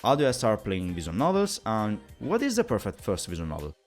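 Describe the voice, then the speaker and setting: A man's voice: fairly low pitch, huge pitch swings, a little fast, soft background music. A man giving a scripted, lecture-style explainer as a video presenter, with wry, teacherly asides.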